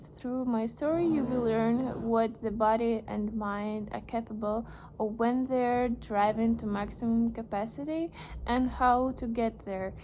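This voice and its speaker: A young woman whose first language is Russian, reading an English book aloud haltingly and stopping to puzzle over words. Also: on the high side, a little mellow, slight background noise. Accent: Russian, reading English with a slight American tinge. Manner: slow, halting reading with restarts and puzzled asides. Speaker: vlogger.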